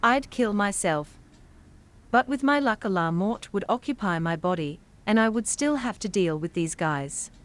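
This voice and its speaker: Actress as dry, animated monster expert woman. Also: mid-range voice, normal speed, natural voice. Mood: exasperated. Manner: dry, exasperated, matter-of-fact. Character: weary, knowing female comic shop monster expert. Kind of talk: comedy horror audio drama acting.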